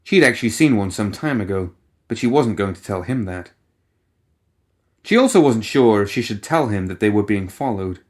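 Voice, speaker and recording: A man's voice: fairly low pitch, lively intonation, normal speed, a little mellow. A man reading scripted fiction as an audiobook narrator, in a dramatic storytelling style.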